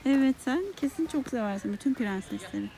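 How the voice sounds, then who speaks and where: A woman's voice: on the high side, expressive, fairly quick. A woman chatting casually with a child outdoors in a park, explaining things and asking simple guiding questions. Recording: very noisy on-location recording with outdoor ambience.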